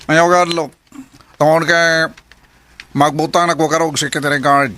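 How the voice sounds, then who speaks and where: A man's voice: fairly high pitch, level tone, normal speed, sharp tone, slight background noise. A man playing a pushy employer in a Bisaya radio drama, clipped and insistent, with a Filipino accent. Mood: persuasive. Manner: clipped, insistent, mixes in English phrases. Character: smooth-talking, pushy male employer offering a job.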